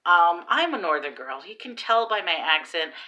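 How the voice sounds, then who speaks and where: A woman's voice: fairly low pitch, moderate pace, dull audio. A woman in her thirties with a New York Long Island accent, telling stories in a casual vlog, recorded on a phone at arm's length in a small room. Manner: animated, exclamatory storyteller. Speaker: vlogger and online seller.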